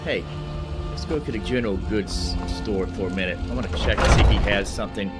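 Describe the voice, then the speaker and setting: A man's voice: on the low side, brisk. A man hosting a gaming video, giving casual, rambling commentary as he thinks aloud while playing. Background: game music.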